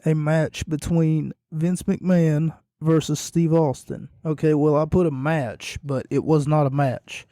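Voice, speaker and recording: A man with a fading, hoarse voice hosts a podcast on his own, speaking slowly in a low, rambling monotone. His tone is dark and mellow, and the recording is dry and close. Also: dry recording. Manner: rambling, self-deprecating, low and quiet. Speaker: podcast host. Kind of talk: casual solo podcast recap.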